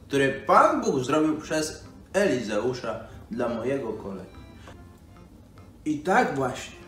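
A middle-aged man playing an excitable, folksy old storyteller for children, exclaiming in amazement, halting and repeating himself. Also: mid-range voice, recorded from a distance. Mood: amazed. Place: living room by a fireplace. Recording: roomy, off-mic, music behind.

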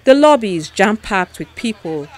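A woman's voice: medium pitch, sing-song, normal speed. A woman with a Nigerian accent reading scripted news narration as a television presenter.

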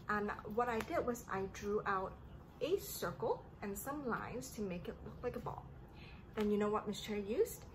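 High, lively woman teaching young children. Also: noisy background. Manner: sing-song, addressing young children with simple questions. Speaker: children's story time host and teacher. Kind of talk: children's read-aloud and teaching. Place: living room indoors.

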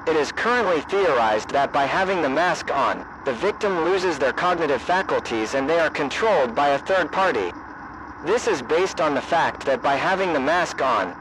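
A man delivers an official emergency alert in a slightly high-set voice. His reading is formal and measured, like a government announcement. Clear noise runs through the broadcast audio.

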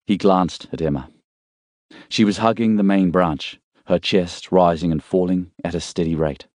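A man reading a thriller novel as an audiobook narrator, in a measured, suspenseful storytelling way. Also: low voice, darkish tone, dry recording.

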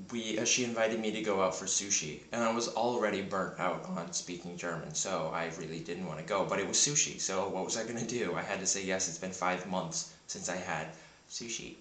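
A man chatting casually into a handheld camera in a rambling, self-deprecating way. His voice is deep and sounds a bit sick in the morning. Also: fairly bright tone, roomy sound, low-quality recording. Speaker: vlogger. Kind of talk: casual vlog monologue. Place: home in Berlin. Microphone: handheld camera mic.

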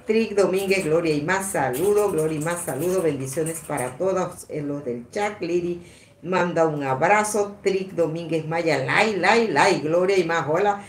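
Live-stream host: woman in her sixties, fairly low voice, halting chatty delivery, roomy sound. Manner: rambling, repetitive, halting. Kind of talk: casual live-stream chat, reading out comments. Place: small indoor room at a table. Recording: echoey room, some background noise.

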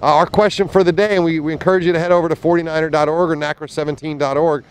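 Man, thirties, fairly high voice, chatty race commentary, handheld mic outdoors on a boat, faint wind and engine noise. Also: steady tone, racing. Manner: chatty, enthusiastic, many fillers and restarts. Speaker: sailing commentator. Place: small motorboat on open water, outdoors. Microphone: handheld mic with fuzzy windscreen.

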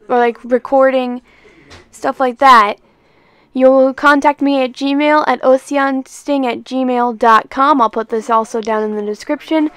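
High, clear young woman, rambling casually. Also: no room sound. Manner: rambling, hesitant, self-deprecating. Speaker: amateur animation project creator. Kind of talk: casual announcement, unscripted.

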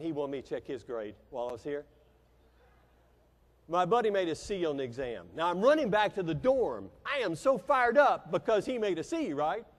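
High-voiced American man speaking in an echoey hall. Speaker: motivational business speaker. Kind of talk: keynote speech with personal storytelling. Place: large convention hall stage. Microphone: lapel mic. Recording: echoey hall with long reverb.

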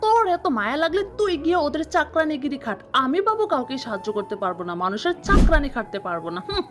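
A woman voicing a bratty, sharp-tongued girl, the younger sister in a cartoon, who talks in a snappy, scornful way. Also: high-pitched, sing-song, quick pace, over music.

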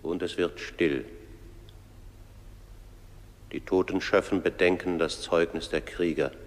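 An actor playing the solemn narrator of a trial in a radio play. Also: male voice, sits low, fairly even intonation, slow. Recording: long hall-like reverb.

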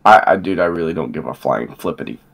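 A man's voice: rumbling low, animated, fast talker, creaky, a bit bright. A man in a heated phone argument, exasperated, profane and emphatic.

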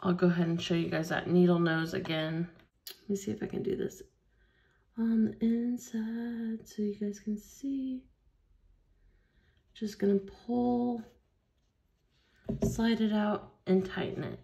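A woman leading a hands-on beading tutorial at a craft table, explaining each step slowly and patiently. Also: mid-range voice, level tone, creaky, dark tone. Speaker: craft instructor.

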